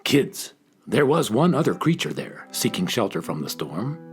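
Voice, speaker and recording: A man's voice: on the low side, big pitch swings, normal speed. A man narrating a children's radio play as a scripted storyteller, addressing young listeners.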